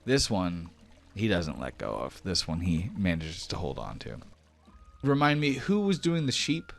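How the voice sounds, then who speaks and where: Low-pitched man running a tabletop game. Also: wildly swooping pitch, unhurried, vocal fry. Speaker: game master. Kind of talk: narrating a tabletop roleplaying game.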